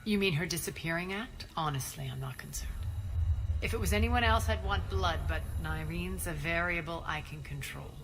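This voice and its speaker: A woman's voice: lowish voice, moderate pace, noisy background, close to an everyday voice. An actress playing a ruthless female crime boss in a video game, commanding and clipped with a cool sardonic edge. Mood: dry, calculating. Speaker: gang leader and commander. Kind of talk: video game cutscene acting.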